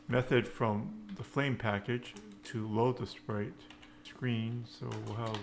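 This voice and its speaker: A man giving an unscripted coding tutorial, thinking aloud in halting, short fragments. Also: mid-range voice, level tone. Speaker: programming tutorial instructor. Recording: noisy with keyboard typing. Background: computer keyboard typing.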